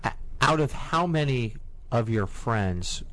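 Animated male interviewer, halting question starts. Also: mid-range voice. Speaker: interviewer, host.

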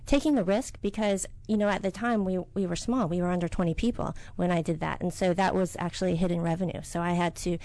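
Brief: middle-aged woman, business owner, interviewee; soft-spoken, halting, lots of ums. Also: lowish voice, steady tone. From United States. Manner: soft-spoken, hesitant with many fillers. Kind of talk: interview answers.

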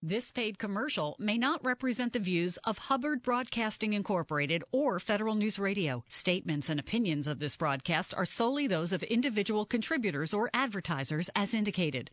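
A woman with a slightly low voice reads a formal disclaimer briskly. The sound is close and dry. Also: fairly bright tone, dry recording. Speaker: station announcer. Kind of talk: read legal disclaimer.

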